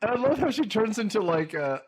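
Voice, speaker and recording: Slightly high-voiced guy ranting about a movie on a podcast, jokey, excitable, voice drops off at the ends. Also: moderate pace. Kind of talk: casual movie-review banter.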